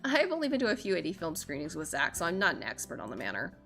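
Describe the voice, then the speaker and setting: A woman's voice: on the low side, wildly swooping pitch, quick pace, gravelly, fairly bright tone. A woman streamer reading a visual novel aloud with theatrical, casually sweary live commentary. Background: game music.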